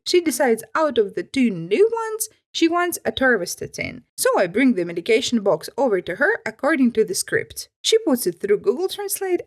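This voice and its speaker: A woman reading online stories aloud and adding her own comments. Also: fairly high pitch. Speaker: storyteller.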